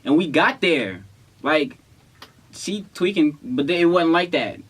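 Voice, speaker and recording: A man's voice: a little high, animated, slow pace, a bit bright, breathy edge. A young man telling a story in casual, slangy chat, with a playful, protesting manner. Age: young adult. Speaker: vlogger telling a couple story.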